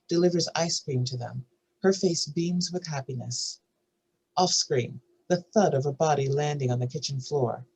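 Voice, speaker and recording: Woman, husky, low-clarity voice, screenplay narrator, scripted stage directions. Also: darkish tone, rough recording.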